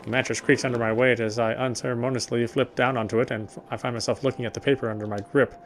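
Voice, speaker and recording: A man narrating a story in the first person, reading in a steady, reflective way with small slips. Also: medium pitch, quick pace.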